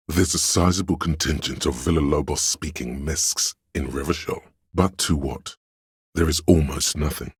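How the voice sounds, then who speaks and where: A man voicing an erudite, pedantic encyclopedia inside a detective's mind in a video game, lecturing in a measured, scholarly way with ominous gravity. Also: rumbling low, husky, no room sound, clean studio sound, full character voice. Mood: scholarly, musing. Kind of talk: scripted game narration, lecture-like.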